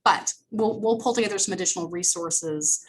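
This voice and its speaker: A woman's voice: fairly low pitch, a little fast, a little hiss or hum, rough recording. A woman on library staff speaking in a meeting over a video call, conversational and explanatory, with frequent restarts. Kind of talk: meeting discussion.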